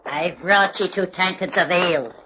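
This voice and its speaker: Low-voiced, curt old colonial innkeeper's wife played by an actress. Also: female voice, moderate pace, close to an everyday voice. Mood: plain, puzzled. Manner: archaic, curt phrasing. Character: surly, deferential older female colonial innkeeper's wife. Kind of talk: old-time radio drama acting. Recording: dull, noisy old radio recording.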